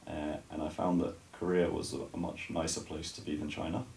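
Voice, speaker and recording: A man in his forties from the United Kingdom, talking as a guest in a casual radio interview, relaxed and hesitant with many restarts. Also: a bass voice, fairly quick, gravelly, reverberant, recorded from a distance, a little hiss or hum.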